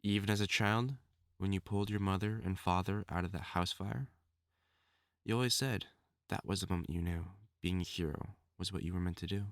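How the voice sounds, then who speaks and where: A man's voice: deep voice, fairly even intonation, a little fast, dry recording, studio quality. A man acting a lovesick, obsessive supervillain scientist with formal, theatrical diction in a dramatic audio roleplay monologue. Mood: tender. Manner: formal, theatrical diction, tender endearments breaking into anguished outbursts.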